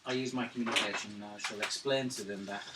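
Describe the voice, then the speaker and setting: Measured man at a game table. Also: on the low side, steady tone. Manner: measured, describing actions. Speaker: player. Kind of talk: casual tabletop game chat. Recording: mic far off, background noise.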